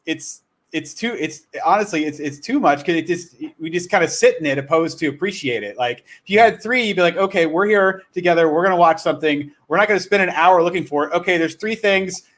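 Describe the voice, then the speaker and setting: A man's voice: on the high side, brisk, a bit bright. A man hosting a casual livestream chat in a rambling, self-correcting way. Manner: rambling, self-correcting, frequent "you know" and "like". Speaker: host.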